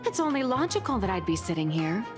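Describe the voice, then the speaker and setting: A woman's voice: mid-range voice, sing-song, fast talker, music in the background, lightly acted. A woman as a smug, lilting lady boss. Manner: teasing, self-assured. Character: smug, theatrical lady magazine president. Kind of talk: video game voice acting.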